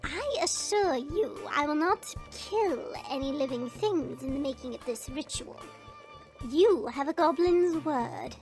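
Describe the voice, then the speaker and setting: A sly little goblin witch in a fantasy audio drama. The voice sits very high and swoops around with theatrical coyness, taking its time over words. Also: animated, darkish tone, soft background music. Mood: coaxing. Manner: theatrical, drawn-out, coy delivery. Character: sly, theatrical female goblin witch, weepy when slighted. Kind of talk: fantasy audio roleplay acting.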